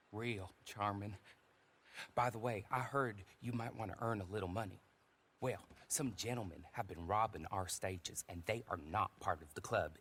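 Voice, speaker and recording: A genteel frontier stagecoach agent, lays on formal, mannered courtesy with a wry edge. His voice sits a little low for a man. It is a video game cutscene role. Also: a bit bright, breathy edge.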